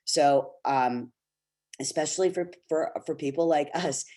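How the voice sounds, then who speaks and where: Mellow-voiced middle-aged man, earnest and encouraging. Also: on the high side, unhurried. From Canada. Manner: earnest, encouraging, many fillers and restarts. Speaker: actor speaking on a casting webinar. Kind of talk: candid talk to young actors. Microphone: video call.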